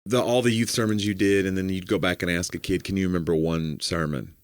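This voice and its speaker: Deep-voiced joking comedian co-host. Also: male voice, fairly even intonation, quick pace, no echo. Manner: joking, rambling, filler-heavy. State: slightly hoarse from a cold. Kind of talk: casual podcast chat.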